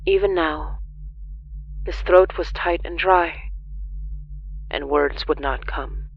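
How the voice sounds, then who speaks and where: Grave, measured horror narrator, mid-pitched. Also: vocal fry, lo-fi sound. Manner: grave, measured delivery with deliberate pauses. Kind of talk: scripted dramatic horror narration.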